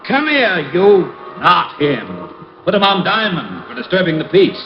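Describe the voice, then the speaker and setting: High-pitched mocking cop, old radio. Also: male voice, huge pitch swings, measured pace, faint music behind, exaggerated voice. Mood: taunting. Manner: taunting, exaggerated. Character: bossy mocking police officer in a dream. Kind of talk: comic old-time radio acting. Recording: muffled vintage radio broadcast.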